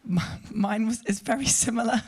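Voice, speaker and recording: A woman's voice: a little high, measured pace, darkish tone, slightly rough. A woman co-artistic director speaking on a panel, light and laughing, rephrasing as she goes. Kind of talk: panel remarks.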